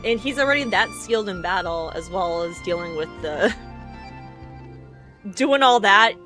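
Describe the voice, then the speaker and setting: A woman's voice: mid-range voice, expressive, a little slow. A woman game streamer chatting casually on a livestream in a rambling, giggly manner. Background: video game music.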